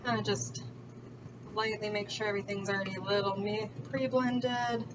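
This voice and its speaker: A woman's voice: medium pitch, little pitch movement, moderate pace. A woman hosting a home cooking vlog, talking informally and thinking aloud as she works in her kitchen. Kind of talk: casual chat while cooking. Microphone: camera on the counter, at a distance. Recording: distant, dull sound with loud background noise.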